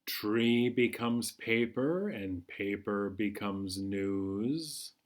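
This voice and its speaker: A man hosting a children's storytime, reading a picture book aloud in a measured, singsong way. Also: fairly low pitch. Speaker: children's storytime host at a library.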